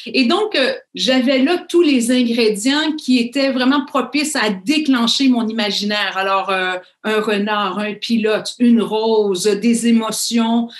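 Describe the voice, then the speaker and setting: Woman with a Québécois accent, guest author, reflective with restarts; fairly high pitch, roomy echo. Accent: Québécois French. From Canada. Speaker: children's author and pilot guest. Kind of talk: interview answers. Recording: echoey room.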